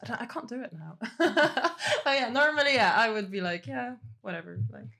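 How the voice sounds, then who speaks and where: A woman's voice: a little high, lively intonation, moderate pace, vocal fry, bright, forceful tone. Chatty young Polish woman, laughing. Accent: Polish with British and American touches. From Poland. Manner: chatty, laughs often, many fillers. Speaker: podcast guest. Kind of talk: casual interview chat.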